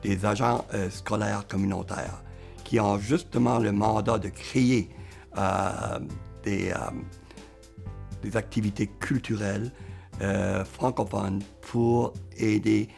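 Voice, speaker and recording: A man's voice: fairly low pitch, fairly even intonation, takes it slowly, vocal fry, darkish tone, faint music behind. A man, speaking in a documentary interview about schools, hesitant with many fillers.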